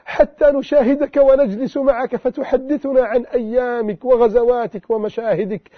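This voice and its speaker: A man with a strikingly high voice preaches a pleading, impassioned sermon built on repeated refrains. The sound is thin and phone-like, with no room echo at all. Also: fairly even intonation, normal speed. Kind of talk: emotional sermon addressing the Prophet. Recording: tinny phone-like lo-fi recording.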